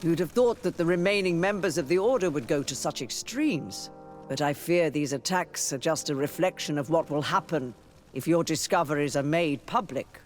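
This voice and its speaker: An actor playing a formal, wary church leader with a deliberate manner in a video game drama. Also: male voice, on the high side, faint music behind, close to an everyday voice. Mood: wary concern.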